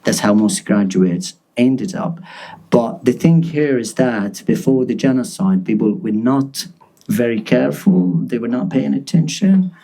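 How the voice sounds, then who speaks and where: A man's voice: medium pitch, animated, a little slow, gravelly, dark tone, slightly rough, poor audio. A man in his twenties from Gaza, a guest journalist speaking earnestly at a live panel, often repeating words as he searches for them. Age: 20s.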